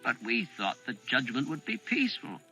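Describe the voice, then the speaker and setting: Man doing a soft-spoken bearded dwarf. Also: medium pitch, wildly swooping pitch, normal speed, breathy edge, faint music behind, heavily acted, low-quality recording. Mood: musing. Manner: soft, mumbling, musing. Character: bearded dwarfish Geg man, earnest and distracted.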